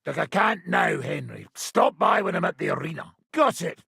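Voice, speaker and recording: Video game role: boisterous, hard-drinking medieval fight trainer, very husky and forceful, gruff taunts, unhurried delivery. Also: male voice, high voice, sing-song, no room sound, studio quality, full character voice. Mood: gruff, taunting. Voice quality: raspy, gravelly.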